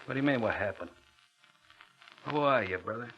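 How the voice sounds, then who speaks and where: A man's voice: on the low side, lively intonation, fairly quick, husky. An actor playing a brash, wary, shady novelty salesman with slick patter and an American accent in an old radio thriller. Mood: suspicious, needling. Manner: slick patter, calls everyone "brother". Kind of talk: 1940s-50s radio thriller acting. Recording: dull vintage radio recording.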